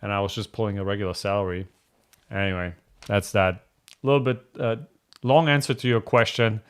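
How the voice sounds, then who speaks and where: A man's voice: lowish voice, steady tone, a little fast, clear, resonant tone. Candid man chatting through viewer questions. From Germany. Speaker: host answering viewer questions. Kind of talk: casual live Q&A chat.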